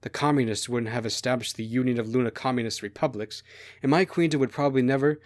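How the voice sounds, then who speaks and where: A man voicing an obliging young princess who explains things quietly and reflectively in a story. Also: mid-range voice, fairly even intonation, fast, lightly acted. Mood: regretful.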